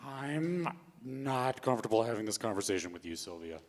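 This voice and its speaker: A man's voice: lowish voice, big pitch swings, moderate pace. A man playing a weary, laconic bartender in a live staged play reading, casual in manner. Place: theatre stage before a live audience. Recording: reverberant theatre hall, live stage.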